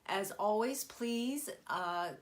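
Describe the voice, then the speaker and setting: A woman's voice: mid-range voice, takes it slowly. A middle-aged woman, nasal and congested from a cold, chatting casually with many ums during a home craft live stream, recorded on a tablet camera. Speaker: stamping craft demonstrator. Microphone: phone or tablet camera.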